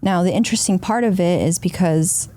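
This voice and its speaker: A woman's voice: on the low side, a little fast, mellow. A woman from Argentina, a podcast guest, talking reflectively in long flowing sentences. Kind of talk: casual podcast conversation.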